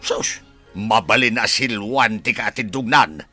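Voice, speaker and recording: A man playing a wry, grumbling Filipino husband and father in a radio serial, teasing and exclamatory, with a rough husky voice. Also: on the low side, a little slow, pushed and bright, dry recording, faint music behind, heavily acted. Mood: teasing grumble. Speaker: radio drama actor.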